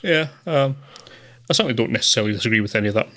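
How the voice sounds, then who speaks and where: A man co-hosting a film podcast, chatting casually in a hesitant, measured way. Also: medium pitch, lively intonation, a bit bright. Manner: hesitant, measured, laughs often. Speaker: podcast co-host. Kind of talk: casual film review chat.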